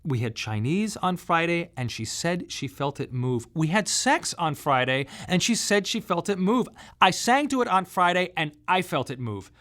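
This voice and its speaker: A grieving young father in a radio play. His voice sits somewhat high for a man, and he speaks haltingly, questioning himself with raw, anguished feeling. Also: very animated, a bit bright. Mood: panicked, guilty. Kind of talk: radio drama monologue.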